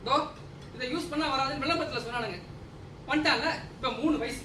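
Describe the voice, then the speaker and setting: Actor playing a cranky, high-pitched householder, far off. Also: male voice, fairly quick. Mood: smug, dismissive. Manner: exasperated, scolding. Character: cranky, litigious householder, pompous and nitpicking. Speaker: stage actor. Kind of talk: comic stage play acting. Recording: distant mics, echoey hall, noisy.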